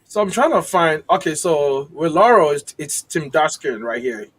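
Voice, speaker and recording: A man's voice: fairly high pitch, very animated, moderate pace, a bit bright, slightly rough. A man hosting a casual video-call interview, chatty and upbeat with self-deprecating jokes. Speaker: host.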